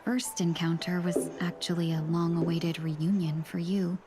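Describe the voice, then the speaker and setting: A poised, mysterious fox-eared woman from a video game, voiced by a woman, speaking in a soft, measured, courteous way. Her voice sits slightly low. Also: level tone, noisy background, natural voice.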